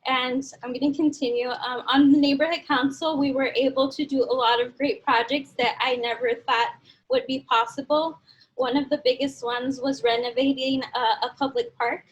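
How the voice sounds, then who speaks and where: Young woman with a high voice, earnest speaker rallying a crowd. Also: fairly even intonation, normal speed, muffled recording. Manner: earnest, motivational, leads audience in call-and-response. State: dry throat. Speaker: speaker at a community event. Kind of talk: personal speech to an audience.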